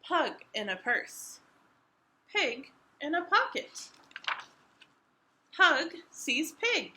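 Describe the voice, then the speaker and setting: A woman's voice: high voice, sing-song, very slow, sharp tone. A woman reading picture books aloud to toddlers as a story-time host, with simple, emphatic, sing-song phrasing. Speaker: children's library story-time reader. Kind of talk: animated picture-book read-aloud for toddlers.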